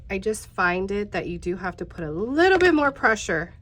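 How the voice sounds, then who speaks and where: A woman's voice: mid-range voice, big pitch swings, moderate pace, a bit bright. A woman in her thirties, a bit congested and nasal, chatting casually to viewers while leading a step-by-step craft tutorial. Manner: chatty, talks straight to viewers, many fillers.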